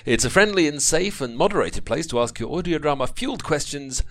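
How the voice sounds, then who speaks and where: A man's voice: fairly high pitch, lively intonation, a little fast. A man co-hosting a podcast promo with emphatic, theatrical delivery and dry humour. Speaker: podcast host and festival organiser. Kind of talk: lightly scripted promotional banter.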